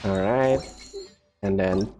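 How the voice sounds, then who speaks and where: A man giving casual, expressive let's-play commentary, often repeating his phrases. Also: deep voice, slow, slight background noise. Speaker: gaming video creator.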